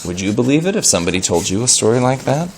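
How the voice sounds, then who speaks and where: A man reading a story aloud in an animated style, pausing to explain words and ask questions. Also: medium pitch, slight background noise.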